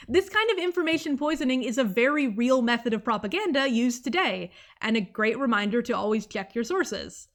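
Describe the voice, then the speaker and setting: A woman's voice: fairly high pitch, lively intonation, fairly quick, few pauses. A young woman delivering a scripted book review video essay, earnest and analytical, drifting off into asides now and then. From United States. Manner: earnest, analytical, with sidetracks and small laughs.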